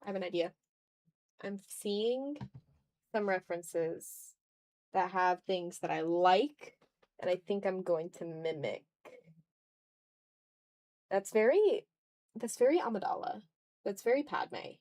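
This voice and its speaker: Woman streamer doing art, mid pitch voice, casual rambly thinking out loud, kinda self-deprecating. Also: lively intonation, slow pace, long pauses. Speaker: art livestream host. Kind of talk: casual chat while drawing on a livestream.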